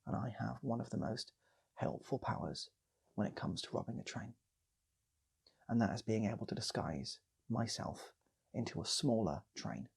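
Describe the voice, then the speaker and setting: A self-assured, matter-of-fact woman, as a male storyteller plays her, with a low and husky voice. She is deadpan and unbothered in a comic tale. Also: moderate pace, exaggerated voice.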